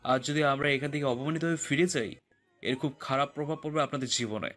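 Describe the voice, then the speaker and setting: A man's voice: mid-range voice, fast, fairly bright tone, close to an everyday voice. A man playing an angry, accusing father of a groom, indignant and threatening, in an audio drama. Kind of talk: audio story acting. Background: music.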